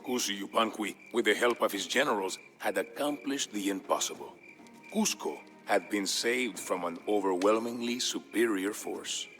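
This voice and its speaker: A man narrates a dramatic historical story in a fairly low voice. His delivery is measured and solemn, and music plays softly behind him. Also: low-quality recording. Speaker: narrator of a game campaign storyline.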